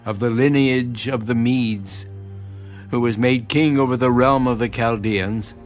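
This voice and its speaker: A man narrating a dramatised scripture audiobook in a solemn, measured, earnest style. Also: medium pitch, steady tone. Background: music.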